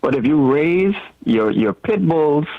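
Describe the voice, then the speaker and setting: A man's voice: fairly high pitch, animated, slow pace. A man calling in to a radio show, chatting casually and insistently, repeating his words as he makes his case. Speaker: radio call-in guest. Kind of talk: casual opinionated chat. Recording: dull band-limited radio call.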